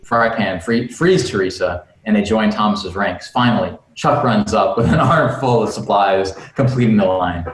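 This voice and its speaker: A man's voice: lowish voice, normal speed, hardly any pauses. A man reading screenplay stage directions on a video call, with jokey asides. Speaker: screenplay narrator at a table read. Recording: roomy video-call audio.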